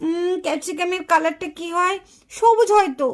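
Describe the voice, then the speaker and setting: A woman's voice: very high-pitched, moderate pace. A woman voicing an earnest, puzzled little girl doll who hesitates and hums while thinking aloud in a puppet story. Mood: puzzled, musing. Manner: hesitant, humming, talking to herself.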